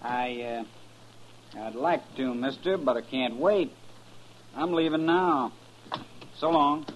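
An actor playing an evasive, balky drifter in a 1950s radio western, with sullen, folksy talk. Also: male voice, mid-range voice, takes it slowly, slight background noise, close to an everyday voice. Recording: muffled old 1950s radio recording.

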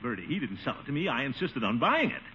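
Swooping, pompous radio sitcom blowhard. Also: male voice, medium pitch, big pitch swings, quick pace, pushed and bright. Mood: defensive, put out. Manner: theatrical, swooping, grandiose delivery. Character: pompous, blustery, wheedling middle-aged male water commissioner. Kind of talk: 1950s radio sitcom acting. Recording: muffled, faintly noisy old radio recording.

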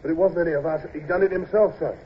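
A man's voice: a little high, fairly even intonation, racing, close to an everyday voice. An actor playing an anxious, deferential, plain-spoken British seaman in a radio drama. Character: flustered, uneducated British seaman reporting to his superior. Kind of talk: old-time radio drama acting. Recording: dull, noisy old radio recording.

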